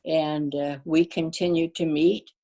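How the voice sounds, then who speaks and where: An older woman, born to a Danish-speaking family, reminiscing about her community in a casual interview, pausing often with fillers and restarts. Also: sits low, little pitch movement. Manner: warm storyteller, halting with fillers and restarts. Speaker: guest.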